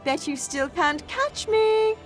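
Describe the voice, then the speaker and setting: Earnest, expressive young elf woman. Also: extremely high pitch, slow pace, soft background music, full character voice. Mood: playful. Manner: earnest, emotionally open. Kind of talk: dramatic fantasy game acting.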